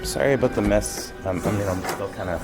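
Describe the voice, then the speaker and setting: An actor playing an uneasy, compliant new homeowner in a fiction podcast, a man talking casually in a halting, hedging way. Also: on the low side, over music, close to an everyday voice. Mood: awkwardly compliant. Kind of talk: naturalistic fiction podcast dialogue.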